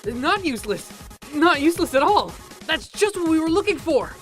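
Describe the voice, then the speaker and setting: A woman voicing a casual, excitable young male college student in a game fan dub. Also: high voice, moderate pace. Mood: excited, eager. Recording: music playing behind the voice.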